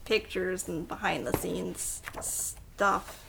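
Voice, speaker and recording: A woman's voice: lowish voice, fairly even intonation, takes it slowly, vocal fry, fairly bright tone, slight background noise, low-quality recording. A woman hosting a casual film podcast, recapping the story and playfully acting out bits of it. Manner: acts out quoted lines playfully.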